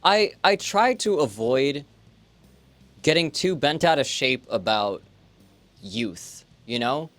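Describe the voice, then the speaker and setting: A man's voice: mid-range voice, slow, long pauses, no echo. A man in his late twenties, a streamer, chatting casually and rambling with lots of fillers. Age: 20s. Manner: relaxed, rambling, many fillers. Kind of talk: casual chat on a livestream.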